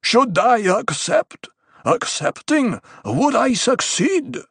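A narrator performs a desert tribesman calling out in an audiobook. He shouts insistently in a strained, raised pitch, with no room sound. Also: sing-song, slow, slightly rough, dry recording, clean studio sound, heavily acted. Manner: shouting from afar. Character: desert tribesman supplicant asking about killing, shouting.

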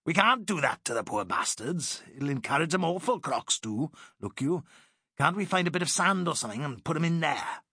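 Welsh soldier read by a man, gruff, colloquial, higher voice, dry close sound. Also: very animated, moderate pace, bright, forceful tone, no room sound, heavily acted. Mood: dismayed. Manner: colloquial, earthy.

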